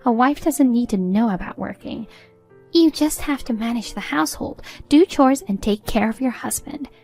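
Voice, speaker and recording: A woman's voice: a little high, moderate pace, gravelly, darkish tone, soft background music, pitched above the performer's own voice. A woman voicing a haughty, meddling middle-aged mother-in-law in a story, agitated and indignant. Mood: scornful.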